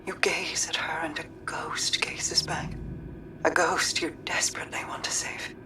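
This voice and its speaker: An actress playing a calm, wise, compassionate confidant in a video game, gently counselling, somewhat distant with music behind. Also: female voice, sits low, unhurried, whispered. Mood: compassionate, firm. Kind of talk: video game cutscene acting.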